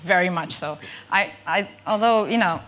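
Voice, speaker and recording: A woman's voice: on the low side, normal speed, fairly bright tone, poor audio. A woman in her thirties from Ukraine with a Ukrainian-French accent, telling stories as a chat show guest with many ums. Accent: Ukrainian and French mix. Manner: chatty, hesitant storytelling with many ums. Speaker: guest actress. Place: TV studio.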